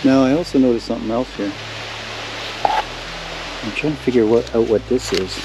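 An older man, a homesteader who casually narrates as he walks outdoors through woods and swamp, pointing things out in a relaxed, rambling way. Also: mid-range voice, moderate pace, heavy background noise. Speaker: homesteader vlogger.

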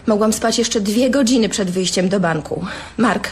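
An actress playing a hurt, fed-up wife of a gambler in a radio drama, questioning with bitter sarcasm. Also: female voice, mid-range voice, normal speed, slight background noise, lightly acted. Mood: angry, accusing. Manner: sharp interrogating, sarcastic.

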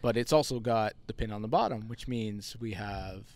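A man's voice: fairly low pitch, moderate pace, darkish tone, no room sound. A man co-hosting with casual commentary, chiming in agreeably as he goes. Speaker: co-host, bowler.

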